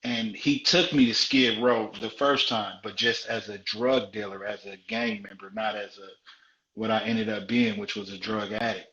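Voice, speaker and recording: A man's voice: on the low side, fairly even intonation, normal speed, pushed and bright. A man in his forties from South Central Los Angeles tells his life story in a frank, conversational way as a guest in a live interview. He repeats phrases for emphasis. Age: 40s. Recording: dull, roomy live-stream audio.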